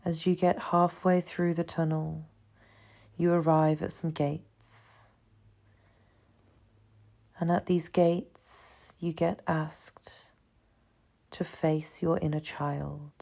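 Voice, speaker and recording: A woman leading a guided meditation in a soothing, hushed manner, leaving long pauses between phrases. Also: low-pitched, flat delivery, vocal fry. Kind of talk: guided meditation, slow scripted narration.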